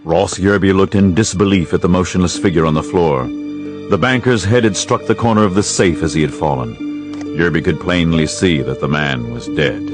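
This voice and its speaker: A man narrating an audio drama in a measured storytelling manner, with music behind. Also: sits low.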